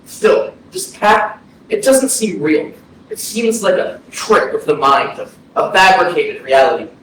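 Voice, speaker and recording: A man playing a haunted, grieving man of almost thirty in a staged reading, speaking earnestly and haltingly as he pleads with the dead. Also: on the high side, very animated, a bit bright. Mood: anguished searching. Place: indoor arts center stage, recorded from afar. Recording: very echoey, distant hall, faint noise, processed.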